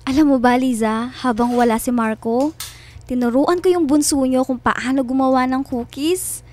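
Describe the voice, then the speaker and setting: An actress playing a chirpy, over-helpful family friend in a radio drama. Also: female voice, sits high, moderate pace, a little mellow, lightly acted. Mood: chatty, sweet. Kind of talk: Filipino radio drama acting. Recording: music behind the voice.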